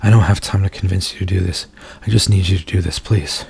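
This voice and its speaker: Man playing a tormented werewolf in human form, low-pitched, heavy vocal fry, earnest intimate ASMR roleplay delivery. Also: fairly even intonation, darkish tone, heavily acted. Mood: urgent, pleading. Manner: intimate, confessional, halting delivery.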